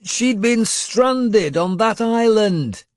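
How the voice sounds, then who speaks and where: A commanding Northman warrior lord, acted out by a male audiobook reader, bellows emphatically over a storm. The voice is pushed high and loud, with dry, close sound. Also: lively intonation, drawn-out words, dry recording, heavily acted. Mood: shouting, confident.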